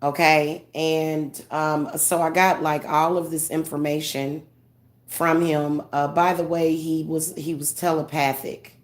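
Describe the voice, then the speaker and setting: Stuffed-up lady medium talking slow and low, lots of ums and restarts. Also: flat delivery. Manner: halting, many fillers and restarts. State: congested. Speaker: spiritual medium vlogger. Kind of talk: casual emotional monologue.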